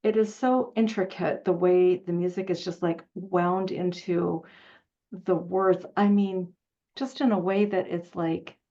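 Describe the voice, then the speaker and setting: Woman doing an interview, super enthusiastic and admiring, kinda halting, bit lo-fi audio. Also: on the low side, fairly even intonation, dark tone. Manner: effusive, admiring, halting delivery.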